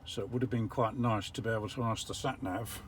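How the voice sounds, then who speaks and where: An older English man explaining how an electric car works from the driver's seat, measured and a little wry. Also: fairly low pitch, fairly even intonation, a little hiss or hum. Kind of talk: casual vlog commentary. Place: parked car.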